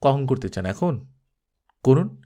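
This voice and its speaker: A man playing an icily calm male film director. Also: medium pitch, slow pace. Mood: cool, unruffled. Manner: unruffled, polite, coolly sardonic. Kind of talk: audiobook character acting.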